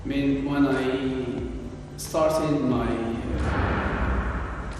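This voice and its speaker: A man from Algeria whose first language is Arabic, an English teacher answering interview questions slowly and with many pauses. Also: mid-range voice, steady tone. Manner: slow, measured, with many pauses and restarts. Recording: echoey room, from far off, faint hiss.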